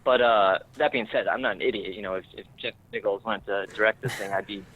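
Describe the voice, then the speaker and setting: A man chatting casually as an interviewed screenwriter, informal and self-deprecating, often halting as he searches for words. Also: lowish voice, steady tone, husky, highs cut off. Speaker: screenwriter guest.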